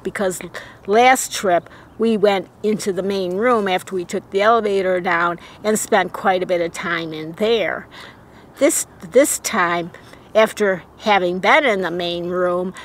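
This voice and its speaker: Chatty middle-aged woman co-hosting a vlog. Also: medium pitch. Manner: agreeable, chatty, quick affirmations. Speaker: travel vlog co-host. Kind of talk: casual chat. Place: outdoors beside an RV on a sunny day.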